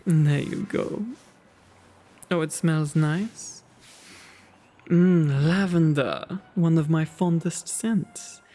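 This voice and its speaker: Man as a slow-spoken, kindly gentleman vampire. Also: a little high, slight background noise. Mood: cozy, doting. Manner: courteous, doting, old-fashioned refined phrasing. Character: kind, genteel male vampire host of an old mansion. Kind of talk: ASMR roleplay acting.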